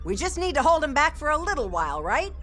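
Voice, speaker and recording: A woman's voice: sits high, sing-song, quick pace. A woman voicing a cocky, taunting fighter in an English anime dub. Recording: loud music behind the voice. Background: loud music.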